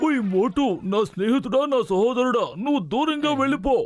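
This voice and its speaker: Cartoon best friend, extremely high voice, rapid, coaxing, sensible. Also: male voice, noisy background. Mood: pleading. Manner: coaxing, pleading. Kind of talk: animated cartoon dub acting.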